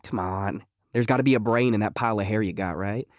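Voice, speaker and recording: A man's voice: on the low side, quick pace, no room sound, studio quality, lightly acted. An actor playing a wisecracking, jittery, volatile male locksmith outlaw in a western audio drama, with a sardonic, rambling, folksy way of talking. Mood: teasing.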